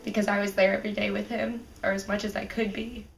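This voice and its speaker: A young woman in her twenties speaks softly and earnestly in a documentary interview. Her voice stays level and even, heard from a distance in a roomy space with faint music underneath. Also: mid-range voice, fast talker. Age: 20s.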